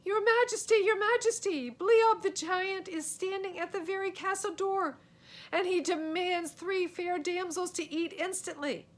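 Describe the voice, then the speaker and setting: A woman voicing a frantic male royal messenger in a storybook, calling out urgently. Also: unhurried. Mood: panicked. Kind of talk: storybook character voice. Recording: steady noise with low rumble.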